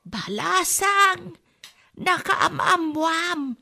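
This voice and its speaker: A woman's voice: very high voice, lively intonation, extremely slow, creaky, sharp tone, heavily acted. A woman playing a nagging, ailing elderly mother in an Ilocano radio comedy drama, with an Ilocano accent. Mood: prodding. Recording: music under the voice.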